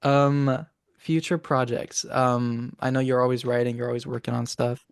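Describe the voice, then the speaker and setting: A man's voice: mid-range voice, level tone, normal speed, creaky, clear, resonant tone, dry recording. Man asking short questions, dry sound. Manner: asks short, direct questions. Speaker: interview host and student singer. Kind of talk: casual interview chat.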